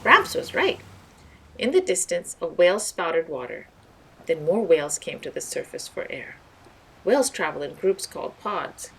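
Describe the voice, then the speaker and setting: A woman's voice: low-pitched, big pitch swings, moderate pace, creaky, fairly bright tone, slightly rough, low-quality recording. A woman reading a scripted children's story aloud as narrator. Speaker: storybook reader.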